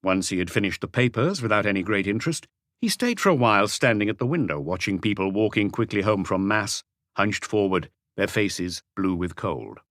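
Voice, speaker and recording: A male narrator reads a mystery audiobook. His voice is fairly low, yet the intonation moves widely through each sentence, and the recording is dry and close with no room sound. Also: animated, moderate pace, fairly bright tone, dry recording. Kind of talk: scripted narration of a mystery novel.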